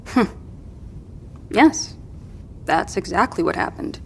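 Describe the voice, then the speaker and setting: A woman's voice: lowish voice, expressive, creaky, a bit bright, a little hiss or hum, exaggerated voice. A woman playing a weak, wounded survivor in an audio drama, wary and blunt, speaking in weary sighs. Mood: weary, accusing. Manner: slow, weak, sighing. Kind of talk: scripted audio drama acting.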